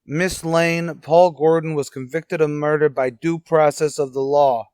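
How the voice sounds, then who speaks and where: A man's voice: on the high side, fairly even intonation, a little slow, darkish tone, no room sound, studio quality, lightly acted. A man acting as a stern police commissioner in a radio drama, formal and officious. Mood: stern, dismissive.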